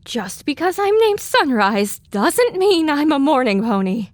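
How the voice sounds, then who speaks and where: A sassy young pony heroine voiced by a woman in an audio drama, very high and hugely expressive. She takes her time over each exaggerated, grumpy or thrilled reaction. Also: unhurried.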